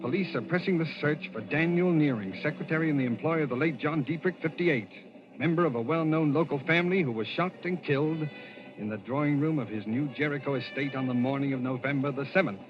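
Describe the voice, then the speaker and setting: A man's voice: fairly high pitch, quick pace, close to an everyday voice. An actor playing a desperate man on the run with lost memories, tense, insistent and clipped, in 1940s radio thriller style. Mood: brooding. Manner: tense, insistent, clipped, brooding when narrating. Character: desperate amnesiac man on the run, accused of murder. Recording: dull lo-fi vintage radio recording.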